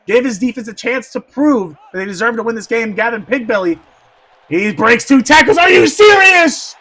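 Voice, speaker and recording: A man doing play-by-play football commentary, going hoarse, who swings from quiet asides to shouted excitement. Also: very high-pitched, normal speed.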